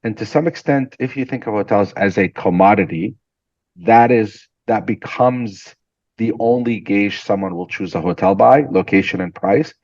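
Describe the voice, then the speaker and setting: A man from Syria, a guest entrepreneur, telling stories in an animated, conversational interview and often repeating words as he starts a sentence. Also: fairly low pitch, moderate pace, dry recording, lo-fi sound.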